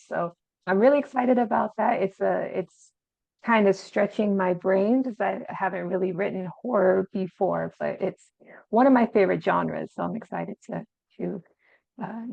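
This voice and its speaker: A woman's voice: mid-range voice, gravelly, no room sound, phone-quality sound. A woman author from Arizona, a podcast guest who speaks thoughtfully and hesitantly with many fillers. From Arizona, USA. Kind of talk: casual interview chat.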